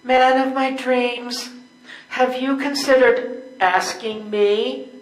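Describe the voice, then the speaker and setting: A high, slow voice in an echoey room. An older woman acts a devoted, long-suffering wife in an amateur stage play, reading with care and gentle firmness. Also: steady tone. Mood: gently reproachful. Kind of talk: readers theater stage play.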